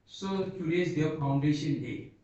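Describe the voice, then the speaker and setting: A man whose first language is Bhoti gives an unscripted seminar talk, halting and searching for words as he speaks slowly and earnestly. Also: on the high side, dark tone. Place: seminar hall. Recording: echoey hall, distant dull mic.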